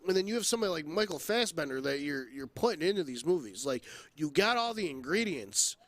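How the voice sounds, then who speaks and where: Guy cohosting a movie podcast, kinda high voice, dry close sound, blunt and opinionated. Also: very animated, brisk, no room sound. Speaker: podcast co-host.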